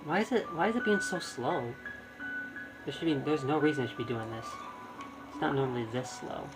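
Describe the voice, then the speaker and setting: A man's voice: a little high, poor audio. Rambling man streaming a game over music. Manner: rambling, halting, repeats words. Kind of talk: casual live game commentary.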